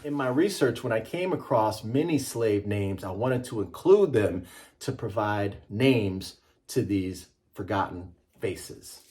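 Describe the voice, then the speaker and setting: A man's voice: on the low side, animated, slow, a little mellow. A middle-aged man, a children's author, presenting and reading a picture book aloud with an emphatic, rhythmic delivery.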